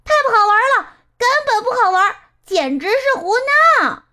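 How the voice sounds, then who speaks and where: A woman voicing an indignant little storybook rabbit in a squeaky, scolding manner. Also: big pitch swings, moderate pace, bright, forceful tone, exaggerated voice.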